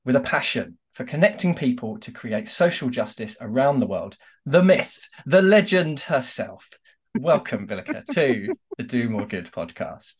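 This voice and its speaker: A man's voice: medium pitch, big pitch swings, unhurried, slightly rough. A man from the United Kingdom co-hosting a podcast, telling a story in a chatty, self-deprecating way. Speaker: podcast co-host. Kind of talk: casual podcast chat.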